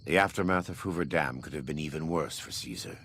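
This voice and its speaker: A man playing a grave, devout, burn-scarred former war leader in a video game, speaking in a solemn, measured, preacherly way with a deep gravelly voice. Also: fairly bright tone, slight background noise. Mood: grave, reflective. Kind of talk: video game dialogue acting.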